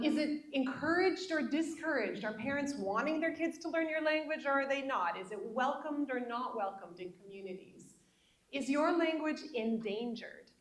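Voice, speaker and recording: A woman's voice: high voice, echoey room. A woman presenting an interactive session, putting questions to the audience. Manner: guiding, asks the room questions.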